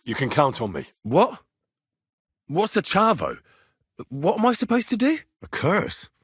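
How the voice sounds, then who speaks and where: Young medieval adventurer for a game: blunt and earthy, quick to threaten, husky voice, very mobile pitch, dry studio sound. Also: male voice, huge pitch swings, fairly bright tone, dry recording, exaggerated voice. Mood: irritated, confrontational. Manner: blunt, earthy, sweary, conversational. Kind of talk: video game dialogue acting.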